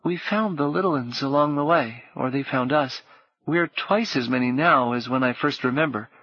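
A man voicing a frightened, grieving young boy who speaks softly and haltingly through tears. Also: medium pitch, no room sound, highs cut off, voice pushed up, lightly acted. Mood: dazed. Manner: tearful, halting, soft.

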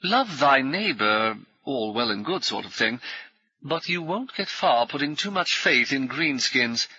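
Guy putting on a loud jolly ex-army officer, bossy, clipped fast talk, husky bright voice, dull dry audio. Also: medium pitch, lively intonation, no room sound, voice pushed up, full character voice. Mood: hearty. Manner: swift, clipped speech. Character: hearty ex-cavalry officer, brash, jovial, bossy.

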